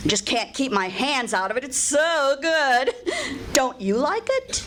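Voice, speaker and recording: A woman plays a teasing, affectionate wife in old-time radio comedy. Her voice is high and very animated, with slow, drawn-out exclamations. The live stage recording has faint noise behind it. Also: heavily acted. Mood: wry.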